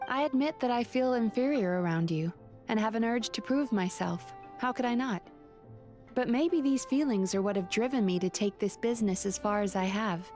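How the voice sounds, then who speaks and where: Woman doing a composed young therapist from an anime game, polite, reflective, highish, faint music behind. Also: normal speed, a little mellow, lightly acted. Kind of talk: anime video game voice acting.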